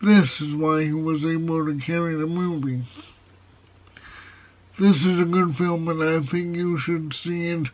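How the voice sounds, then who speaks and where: A man's voice: a little high, fairly even intonation. A man with a nasal, foghorn-like voice reviewing a movie in a casual video. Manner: slow, measured delivery with plain opinions. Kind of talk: casual film review to camera. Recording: dull, lo-fi sound.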